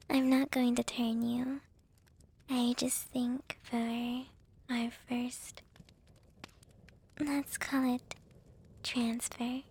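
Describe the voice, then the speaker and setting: A woman playing a shy, gentle young vampire with a crush in a whispered ASMR roleplay, speaking softly and haltingly with a breathy voice. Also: high voice, a little hiss or hum, full character voice. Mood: tender, intimate. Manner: hesitant, halting, soft-spoken.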